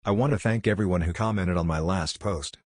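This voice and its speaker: Deep, dry male story reader. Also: a little fast, darkish tone, no room sound. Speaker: narrator reading forum posts. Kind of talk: scripted narration.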